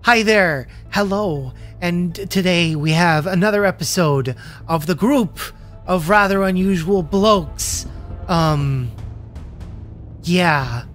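A male host gives a casual, rambling introduction at a slow pace. He is playful and halting, with faint music underneath. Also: high voice, a bit bright.

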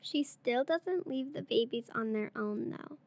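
A woman's voice: fairly high pitch, unhurried, darkish tone. A girl of about eight or nine, a third-grade pupil, reading a picture book aloud. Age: child, around eight or nine.

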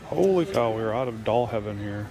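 A man's voice: fairly low pitch, animated, moderate pace, warm tone, soft background music. A man casually chatting as a folksy vlogger while browsing an auction hall. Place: large indoor auction hall. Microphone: handheld camera or phone. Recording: noisy hall, handheld camera audio. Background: clear hall noise.

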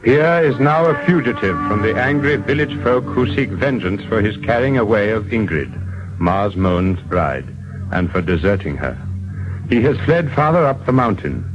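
Muffled vintage broadcast, music behind, male narrator, solemn, measured, formal. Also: mid-range voice, animated, lightly acted. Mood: solemn narration. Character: solemn storyteller of a folk drama. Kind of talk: scripted 1940s radio narration.

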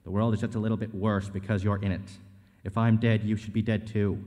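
A man plays a scornful ghost from the grave in a staged script reading. The voice is low and dark, profane and bullying, ringing in a long hall reverb. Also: fairly even intonation, fast, close to an everyday voice. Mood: taunting, scornful. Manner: profane, taunting, contemptuous.

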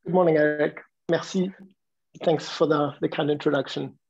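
Gravelly, husky man on a video call. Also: a little high, fairly even intonation, a little mellow. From Morocco and Luxembourg. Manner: halting, reflective. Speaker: interview guest, design innovator. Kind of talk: conversational interview answers. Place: room at home. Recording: dull video-call audio.

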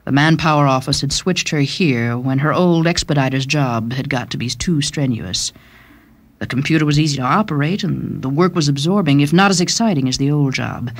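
A woman's voice: low voice, normal speed. A woman reading scripted short-story narration as the narrator. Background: music.

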